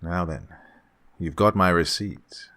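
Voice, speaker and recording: A man's voice: low-pitched, takes it slowly, sharp tone, full character voice. A man playing a falsely genial, sinister employer in a radio suspense drama, over-courteous, unctuous and insistent. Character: falsely genial, sinister male employer luring a young woman. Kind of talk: old-time radio suspense drama acting.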